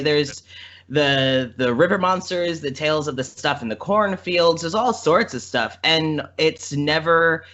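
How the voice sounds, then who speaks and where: A man with a bright, crisp-edged voice talks as a guest, informal and eager, telling things conversationally. The audio is slightly dull and lo-fi. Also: fairly high pitch. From Indiana, American Midwest. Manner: enthusiastic, informal. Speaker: podcast guest, audio drama creator and voice actor. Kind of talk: casual conversational storytelling.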